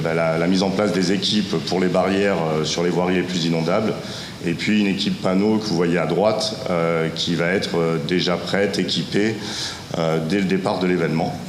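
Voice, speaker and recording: A man giving a semi-improvised technical presentation as a local official, with halting delivery, frequent hesitations and self-corrections. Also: sits low. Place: conference room at a technical seminar. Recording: echoey room, distant ambient noise.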